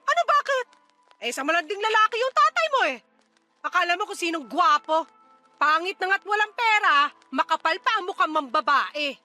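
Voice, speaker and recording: A woman's voice: very high-pitched, sing-song, moderate pace, bright, forceful tone, faint music behind, heavily acted. A woman playing a bitter, exhausted mother who scolds and nags sarcastically in a radio-style drama.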